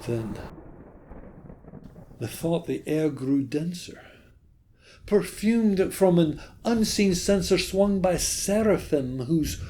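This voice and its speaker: A man giving a dramatic, theatrical recitation of a gothic poem, with halting delivery and long pauses. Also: sits high, lively intonation, mellow, slight background noise. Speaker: poetry reader.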